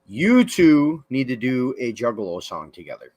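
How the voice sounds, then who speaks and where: A man's voice: medium pitch, very animated, normal speed, a little mellow. A man hosting a casual online radio interview, chiming in with short reactions like "damn, bro.". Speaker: radio show host.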